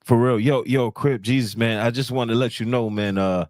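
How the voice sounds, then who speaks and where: A man's voice: mid-range voice, steady tone, normal speed, darkish tone, dry recording, clean studio sound. A man hosting a podcast, chatting casually in slang and often repeating words for emphasis. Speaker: podcast host. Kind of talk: casual interview chat.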